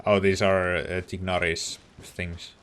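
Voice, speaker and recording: A woman's voice: very deep voice, flat delivery, a little slow. A woman making casual, laid-back short remarks as she reacts to a video game on a let's play.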